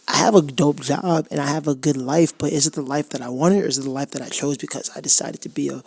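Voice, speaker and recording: A man's voice: fairly high pitch, steady tone, fast, vocal fry, husky, no echo. A man in his twenties hosting a podcast, giving a rambling, casual motivational monologue. He often cuts himself off and acts out his own inner voice. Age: 20s. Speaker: podcast host.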